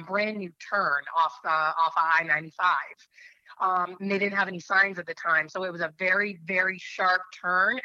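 A woman's voice: on the low side, bright, forceful tone, dry recording, dull audio. A woman in her thirties from New Hampshire, an attorney telling her faith story in an interview, earnest and rambling with many fillers.

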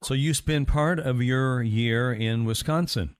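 A man's voice: medium pitch, measured pace, clear, resonant tone, dry recording, studio quality. A man hosting a faith-centered interview, earnest and affirming as he asks questions. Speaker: interview host. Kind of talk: casual interview chat.

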